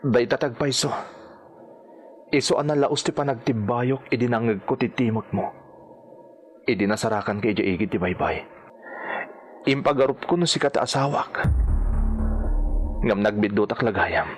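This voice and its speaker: A man's voice: medium pitch, normal speed, pauses often, slight background noise, close to an everyday voice. A man with an Ilocano accent acting in a radio drama as an earnest surgeon searching for his missing wife. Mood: earnest, intent. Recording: radio drama with music underneath.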